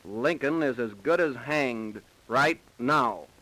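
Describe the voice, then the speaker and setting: An actor playing a gruff, plain-spoken police captain in a 1930s radio crime drama. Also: male voice, mid-range voice, slow, noisy background, natural voice. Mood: grave. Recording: vintage 1930s radio recording.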